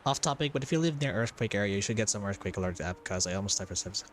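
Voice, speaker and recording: A man's voice: lowish voice, expressive, racing. Casual male streamer with noisy background. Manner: repeats short phrases, informal. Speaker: livestreamer, gaming vtuber. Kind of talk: casual stream chat during game playthrough. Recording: background noise on stream mic.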